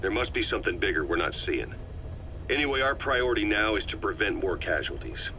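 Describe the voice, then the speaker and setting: An actor playing a shrewd, level-headed veteran intelligence officer in a video game, talking in a measured, analytical way. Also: male voice, lowish voice, sing-song, pushed and bright. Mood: grave, analytical. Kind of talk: dramatic video game voice acting. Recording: muffled, slightly noisy cassette tape.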